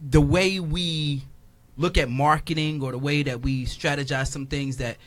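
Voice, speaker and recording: A man's voice: fairly high pitch, fairly even intonation, normal speed, a bit bright, no echo. A man hosting a casual radio interview, who repeats his words and urges listeners on in slangy talk. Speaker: radio show host.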